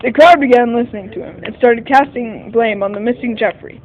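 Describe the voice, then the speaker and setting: High-voiced man playing a detective's sidekick, radio mystery. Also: a little fast, highs cut off. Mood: measured recounting. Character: 1940 American detective's sidekick, narrator recounting a case. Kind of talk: scripted first-person radio mystery narration.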